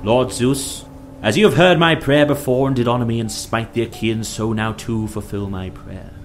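A man's voice: mid-range voice, steady tone, normal speed, slight background noise, close to an everyday voice. A man voicing a proud warrior hero who prays fervently, declaiming in an epic tale. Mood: fervent, pleading.

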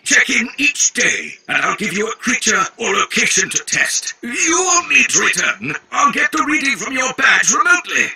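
Shrill, raspy eccentric game wizard. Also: male voice, moderate pace, few pauses, exaggerated voice, low-quality recording. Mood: pleased, instructive. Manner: animated, theatrical exclamations. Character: excitable eccentric wizard scholar giving quests. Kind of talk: video game character dialogue.

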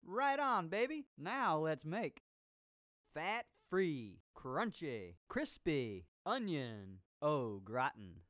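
A groovy seventies disco cooking show host for a cartoon game. He is hammy and upbeat, stretching his words slowly and swinging through wide pitch swoops. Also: big pitch swings, a bit bright, exaggerated voice. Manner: hammy, swinging, drawn-out delivery. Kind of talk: cartoon game voice-over with 1970s disco slang.

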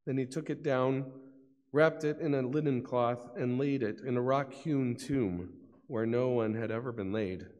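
A man's voice: mid-range voice, a little mellow, lo-fi sound. A middle-aged man giving a formal, solemn narration of scripture at a church lectern. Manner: formal, deliberate phrasing. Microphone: gooseneck lectern microphone. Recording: long church reverb.

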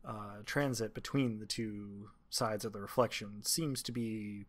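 A man improvising narration as game master, describing scenes in halting, measured phrases. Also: fairly low pitch, steady tone.

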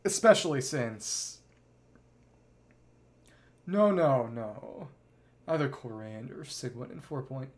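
A man's voice: medium pitch, expressive, slow, pauses often. Man rambling casually over a game. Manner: rambling, thinking aloud. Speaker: livestreamer playing a video game. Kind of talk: casual game commentary, partly reading dialogue aloud.

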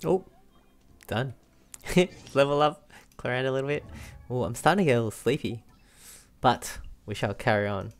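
A young man casually reacting as he plays a game on stream, relaxed and easygoing. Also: mid-range voice, normal speed, long pauses. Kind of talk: casual reactions while playing. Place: small room indoors, webcam setup with headset. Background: game music.

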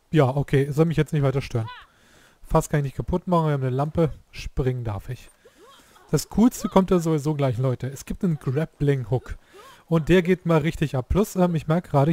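A hoarse man giving casual, excitable commentary while playing a game, often exclaiming and swearing at what happens. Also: a little high. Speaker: let's play youtuber.

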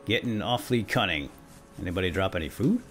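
A man's voice: low voice, fast talker, faint music behind. A man hosting a video game let's play, jokey and playful, chatting to viewers and to himself as he plays. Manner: animated, jokey, talks to viewers and himself, exclaims. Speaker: host of a gaming let's play series.

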